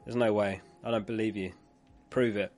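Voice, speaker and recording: A man's voice: on the low side, fairly even intonation, normal speed, darkish tone, faint music behind. A man who is losing his voice, hosting a live fantasy football stream in a chatty way, reading out viewer comments and laughing along.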